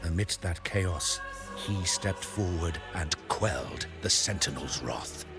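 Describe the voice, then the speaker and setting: An actor playing a pious, lofty high-ranking cleric in a video game, formal, measured and preachy. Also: male voice, animated, vocal fry, heavily acted. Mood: solemn, preaching. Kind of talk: theatrical video game voice acting. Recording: music behind the voice.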